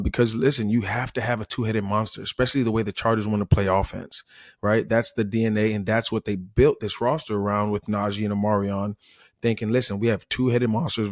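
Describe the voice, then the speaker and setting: A man with an American accent and a fairly low voice offers casual sports analysis. He is emphatic, restating points to drive them home, and the sound is close and dry. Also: steady tone, fairly quick, dry recording. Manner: emphatic, repeats himself, says "listen". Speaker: former player turned sports analyst.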